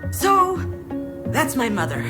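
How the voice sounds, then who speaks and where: Flustered, sardonic woman, very animated pitch. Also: slow pace, over loud music, exaggerated voice. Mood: exasperated. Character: Junon, flustered defensive adult daughter and artist's assistant. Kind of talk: naturalistic visual novel game acting.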